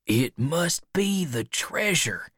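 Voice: mid-range voice, expressive, slow pace, a bit bright, breathy edge, no room sound, studio quality, pitched above the performer's own voice, exaggerated voice. A reader voicing an earnest, anxious boy in a storybook, with urgent and pleading delivery. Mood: excited thinking.

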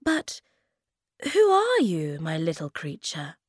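A woman playing a firm but gentle creature mother in a storybook. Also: deep voice, huge pitch swings, slow pace, dry recording, studio quality, full character voice. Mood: puzzled.

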